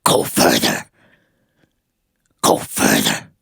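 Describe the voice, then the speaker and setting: A woman voicing a giant, solemn bullfrog spirit in a storytelling performance, with a breathy croak and slow commands. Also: dry recording, studio quality. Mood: solemn, commanding.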